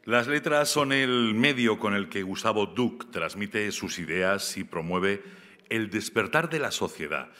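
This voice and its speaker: Male awards presenter, lowish voice, forceful tone, reading formal text from notes. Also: animated, unhurried. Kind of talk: scripted announcement. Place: large theatre stage. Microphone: podium microphone. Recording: long theatre reverb.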